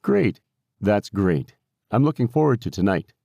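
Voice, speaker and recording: Man acting an upbeat, friendly male caller, animated. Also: on the low side, unhurried, vocal fry, dry recording, studio quality, exaggerated voice. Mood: cheerful.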